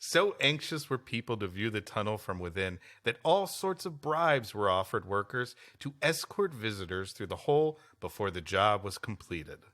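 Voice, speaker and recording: A man's voice: on the low side, sing-song, normal speed, few pauses, a bit bright. A man co-hosting a podcast, reading history aloud and adding dry, playful asides. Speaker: podcast co-host.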